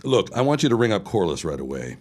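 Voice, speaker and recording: A man's voice: lowish voice, big pitch swings, fast talker, darkish tone. An actor playing a cold, domineering 1910s businessman husband in an audio drama, brusque, dismissive and commanding. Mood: impatient, businesslike. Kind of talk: period audio drama acting.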